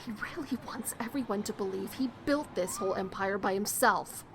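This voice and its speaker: Over clearly noisy ambience, an actress plays a jaded, streetwise woman in an audio drama. Her voice sits at a middle pitch, and she speaks with wry, bitter scorn, dropping into breathy, confiding asides. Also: normal speed, a bit bright. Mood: scornful.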